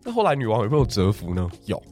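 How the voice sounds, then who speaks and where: A man with a Taiwanese Mandarin accent tells a royal history story on a podcast at a brisk clip. His pitch swings widely, and he keeps turning to his listeners with rhetorical questions. Soft music plays faintly underneath. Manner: animated, asks the audience rhetorical questions.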